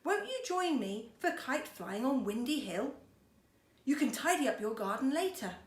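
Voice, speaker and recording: A woman's voice: high voice, very animated, normal speed, far from the mic, pitched above the performer's own voice. A woman reading a children's storybook, voicing a proud, self-satisfied little man who boasts with emphasis. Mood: eager. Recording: roomy echo with background noise.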